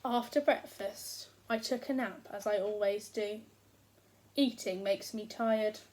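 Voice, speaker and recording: A woman's voice: mid-range voice, steady tone, takes it slowly, darkish tone, lightly acted. A woman voicing a huge, hungry, self-pitying giant who complains with sarcastic emphasis as he tells his own storybook tale. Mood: grumbling. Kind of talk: first-person storybook narration read aloud.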